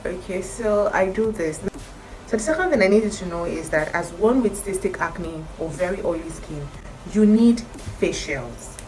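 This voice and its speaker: A woman with a croaky morning voice talks through her skincare routine to camera in a chatty, emphatic way. Her voice sits a little low. Music plays clearly under her talk. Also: lively intonation, normal speed, poor audio. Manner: chatty, emphatic, repeats advice. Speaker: skincare vlogger.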